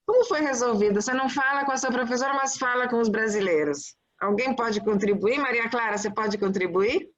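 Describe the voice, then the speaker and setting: A woman with a fairly high voice moderates a webinar, reading out audience questions and prompting panelists to answer. The video call audio is muffled and lo-fi. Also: brisk, few pauses.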